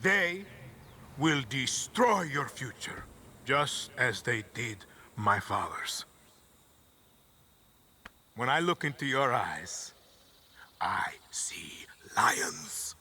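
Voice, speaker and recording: Middle-aged game dictator: menacing, grandiose, Latin American Spanish accent, wide swings from whisper to proclamation, gravelly. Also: male voice, medium pitch, very animated, pushed and bright, slight background noise, full character voice. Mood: solemn, menacing. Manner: slow dramatic oratory dropping to whispers.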